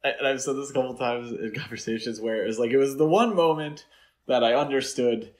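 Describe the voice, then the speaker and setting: A man's voice: medium pitch, brisk, a little mellow. Man casually chatting as a podcast co-host. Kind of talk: casual film discussion.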